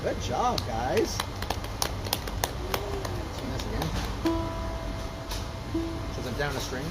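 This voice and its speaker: A man's voice: medium pitch, sing-song, slow pace, rough recording. A man hosting a children's story time, speaking and singing in an informal, encouraging, instructional way. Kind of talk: casual live children's performance, talking to families and singing along with ukulele. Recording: live ukulele music in the recording. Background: ukulele playing.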